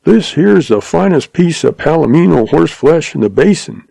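A man's voice: on the high side, animated, moderate pace, gravelly, mellow, husky, no room sound, pitched above the performer's own voice, exaggerated voice. A man voicing a chatty little male stableman who chuckles and talks in folksy frontier speech. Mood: chuckling, folksy. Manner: chuckling, folksy western drawl.

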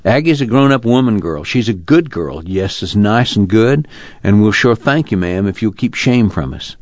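A man's voice: fairly low pitch, expressive, normal speed, no room sound, lightly acted. Man acting worried, humble country father. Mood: grateful, humble. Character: worried, proud, humble poor rural father. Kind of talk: dramatized literary reading. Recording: dull, muffled audio.

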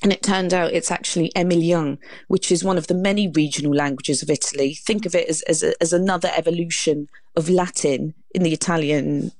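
A woman's voice: deep voice, steady tone, a little fast, hardly any pauses, a little mellow, no echo. A woman, a guest author explaining her subject articulately in an interview.

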